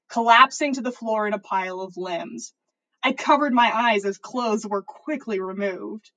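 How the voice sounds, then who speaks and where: A woman with a fairly high voice narrates fiction aloud. She reads as a podcast host would, giving the story expression for her listeners. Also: a bit bright, low-quality recording.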